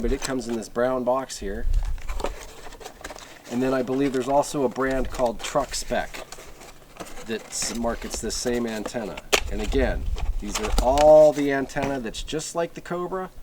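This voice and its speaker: A man giving a casual, off-the-cuff product review, rambling a little and repeating himself for emphasis. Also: mid-range voice. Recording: clearly noisy recording with clicks.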